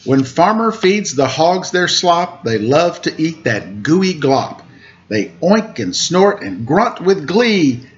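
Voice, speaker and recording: A man in his forties reads a children's picture book at an unhurried pace. His pitch rises and falls in a sing-song, stretching key words. The recording is dull, made on a webcam in a room at home, with noise underneath. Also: sing-song. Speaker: storyteller reading aloud to children. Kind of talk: picture book read-aloud with casual asides.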